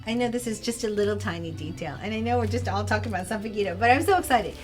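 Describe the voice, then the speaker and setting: A woman's voice: mid-range voice, rapid-fire, soft background music. A woman hosting an interview, chatty and enthusiastic, often laughing. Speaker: host. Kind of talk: casual interview chat.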